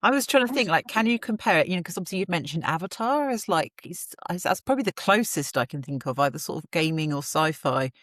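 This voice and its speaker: A woman's voice: fairly low pitch, animated, fast talker, few pauses, fairly bright tone, no echo, clean studio sound. A woman hosting a casual interview, responding with enthusiasm and appreciation as she puts her questions. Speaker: interviewer, show host.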